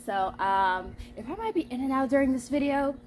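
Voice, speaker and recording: A woman talks to her viewers in a casual, chatty vlog style. Her voice sits on the high side and her manner is playful. There is some ambient noise behind her. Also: expressive, moderate pace, a little mellow. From Netherlands. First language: Dutch. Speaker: vlogger. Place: outdoors in the heat.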